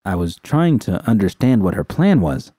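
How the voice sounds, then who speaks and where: Mellow, low-voiced man narrating fiction. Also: very animated, moderate pace, dry recording, studio quality. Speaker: audiobook narrator. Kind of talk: scripted first-person fiction narration.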